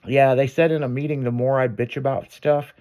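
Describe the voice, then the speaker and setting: A man's voice: mid-range voice, steady tone, fairly quick, darkish tone. Guy on the radio rambling and cussing, lots of um and so yeah, easygoing chat. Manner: rambling, swears a lot, trails off with fillers. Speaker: radio show host. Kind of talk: casual on-air chat.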